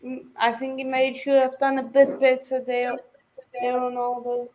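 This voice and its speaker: A woman on a football watch-along who reacts with exclamations at the match and teasingly hushes her co-hosts. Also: high voice, monotone, normal speed, dull audio. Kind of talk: casual chat with match reactions.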